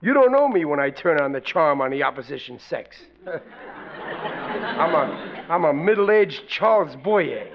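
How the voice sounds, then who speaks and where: A muffled, noisy vintage radio recording of a high-pitched, blustering American father. He is middle-aged, scheming and folksy, with hammy situation-comedy delivery and rough grammar. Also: sing-song, a little slow, crowd noise, full character voice. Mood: conspiratorial.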